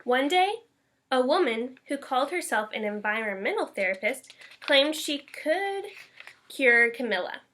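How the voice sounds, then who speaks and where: A woman reading a children's story aloud in an expressive, emphatic school read-aloud style. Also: high-pitched, a little slow. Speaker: elementary school staff member reading aloud.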